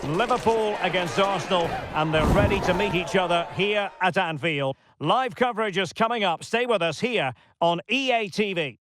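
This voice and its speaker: A man's voice: high-pitched, close to an everyday voice. A man playing an eager TV football commentator in a video game, giving dramatic, scripted pre-match build-up. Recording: stadium ambience and noise behind.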